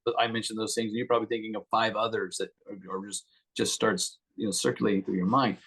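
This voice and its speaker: Low-voiced male interviewer. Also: level tone, fast talker, fairly bright tone, breathy edge. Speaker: interviewer, host. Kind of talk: conversational interview.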